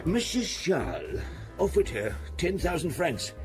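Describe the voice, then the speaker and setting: An actor playing a charming, courteous Corsican bar owner in a radio drama, speaking in a deliberate, storytelling way. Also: male voice, fairly high pitch, huge pitch swings, soft background music. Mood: confiding, animated.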